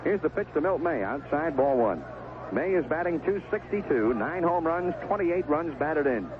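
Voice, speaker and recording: A man giving live 1970s radio play-by-play of a baseball game, describing each pitch in short, clipped phrases. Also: sing-song, normal speed. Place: outdoor ballpark broadcast booth. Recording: noisy, tinny narrowband vintage radio broadcast.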